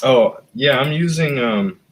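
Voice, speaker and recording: A man casually recounting a comic's plot, halting with fillers and restarts. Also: mid-range voice, animated. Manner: rambling, halting storytelling. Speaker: comic artist guest. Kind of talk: casual chat.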